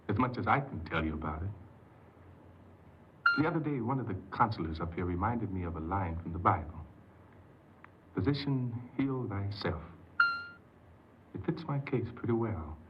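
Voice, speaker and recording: An actor playing an earnest, troubled confessed forger in a 1950s radio drama, hesitant and pleading, repeating himself. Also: male voice, lowish voice, expressive, moderate pace, pauses often, close to an everyday voice. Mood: reflective, earnest. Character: earnest, troubled male forger confessing his crime, desperate and bewildered. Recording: muffled, noisy 1950s radio recording.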